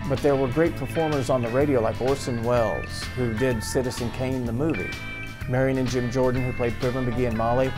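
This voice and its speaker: A man in his fifties with an American accent, a radio host telling his story as in an interview. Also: mid-range voice. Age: 50s. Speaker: old-time radio show host. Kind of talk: interview reminiscence. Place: small radio studio office. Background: plucked guitar music.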